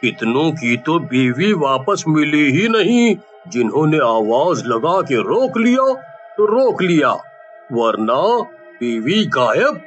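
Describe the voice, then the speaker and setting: A man acts out a gossiping neighbour in an animated tale. He explains things slowly and dramatically in a somewhat high voice, on a slightly dull lo-fi recording. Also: faint music behind. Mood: gossipy, cautionary. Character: gossiping male neighbour relaying alarming local news. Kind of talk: dramatized animated story dialogue.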